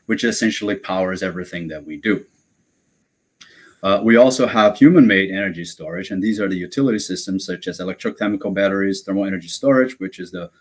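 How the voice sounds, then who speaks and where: Brazil-born male lecturer, very low voice, halting and filler-heavy. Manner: hesitant, many fillers and restarts. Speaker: guest lecturer. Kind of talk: academic webinar lecture.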